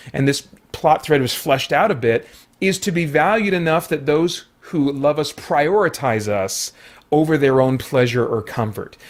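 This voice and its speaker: Man, slightly high voice, movie reviewer, off-the-cuff commentary, conversational, feels out his phrasing. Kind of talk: casual unscripted review commentary.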